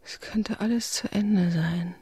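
An actress playing an anguished young woman saying a tender farewell in a radio drama, breathy, half-whispered and confiding. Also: medium pitch, a little slow, dark tone, dry recording. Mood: numb, hushed. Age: young adult. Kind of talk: intimate radio drama monologue.

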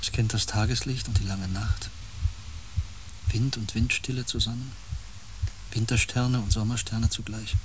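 An actor in a German radio play, playing a tormented, over-sensitive empath on a space expedition, intense and halting, moving between agitation and hushed awe. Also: male voice, on the low side, steady tone, close to an everyday voice. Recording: noisy with ambient sound.

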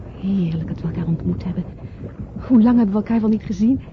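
An actress playing a flirtatious married woman in a radio play, expressive and coaxing. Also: mid-range voice, quick pace, close to an everyday voice. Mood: intimate, seductive. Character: flirtatious married woman fleeing London, pleading then seductive. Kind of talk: Dutch radio play acting. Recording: dull, noisy old radio play recording.

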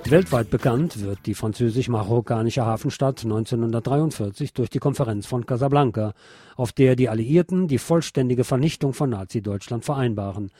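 Casting: man for scripted documentary narration, mellow relaxed timbre, light music underneath. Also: medium pitch, a little fast.

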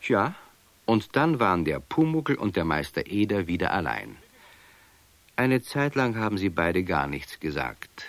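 A man tells a children's story as its narrator. His voice is low and dry, with lively, expressive rises and falls that carry the scripted tale along. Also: a little slow, no echo.

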